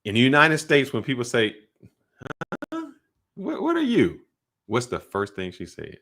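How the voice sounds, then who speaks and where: A man's voice: mid-range voice, wildly swooping pitch, unhurried, a bit bright. A man co-hosting a podcast, explaining his views at length in loose, casual speech. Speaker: podcast co-host. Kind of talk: casual chat.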